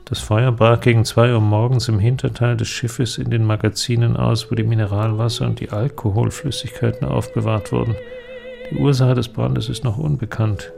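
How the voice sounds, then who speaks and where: An actor playing a pensive, sombre middle-aged inventor in a literary audio drama, speaking in a measured, deliberate way with a creaky voice. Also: male voice, medium pitch, level tone, music in the background. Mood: grave reading.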